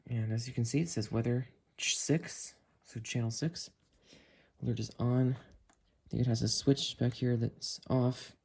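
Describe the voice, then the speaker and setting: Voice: medium pitch, fairly even intonation, measured pace, creaky, a little mellow. A person giving a casual, chatty hands-on product review, talking while handling the item. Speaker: host of a gadget review video. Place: indoors, room at home. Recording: noisy home recording, clicks and handling.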